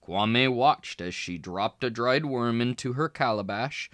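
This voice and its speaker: Male read-aloud narrator, expressive storybook delivery, bright voice, slow pace. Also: medium pitch, no room sound, clean studio sound. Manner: lively, expressive reading with small voice shifts for characters. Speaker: children's book reader.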